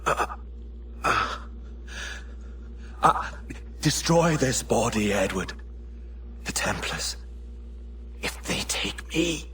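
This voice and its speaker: An actor playing an eccentric, half-mad pirate villain in a video game, theatrical and lyrical in delivery. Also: male voice, lowish voice, animated, very slow, long pauses, sharp tone, a little hiss or hum, heavily acted. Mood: dying, urgent. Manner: theatrical, lyrical, breaking into whispers. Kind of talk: dramatic video game acting.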